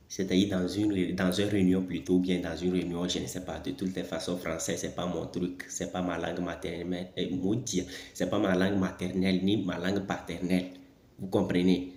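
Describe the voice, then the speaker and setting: A man's voice: low voice, darkish tone, roomy sound. A man hosting his video, talking casually to his viewers in a rambling, self-correcting way. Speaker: video host commenting on clips.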